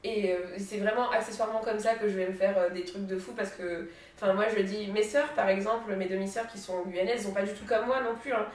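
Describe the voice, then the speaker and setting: A woman's voice: mid-range voice, fairly even intonation, fast talker. Distant echoey young woman chatting. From French Guiana. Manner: chatty, frequent hesitations. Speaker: association member in discussion. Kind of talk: casual conversation. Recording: echoey room, distant mic.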